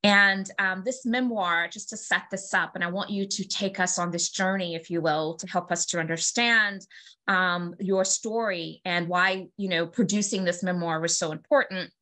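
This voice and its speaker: A middle-aged woman, hosting an online interview in a measured, polished and encouraging way. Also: fairly low pitch, pushed and bright. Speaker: vodcast host. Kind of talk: hosting an interview, introducing and prompting. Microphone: video call.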